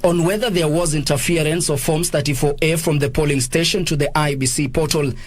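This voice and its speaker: A Kenyan man narrates a scripted news report. His voice sits somewhat high for a male speaker. The recording is tight and dry, with no room around it. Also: fairly even intonation, a little fast, no room sound.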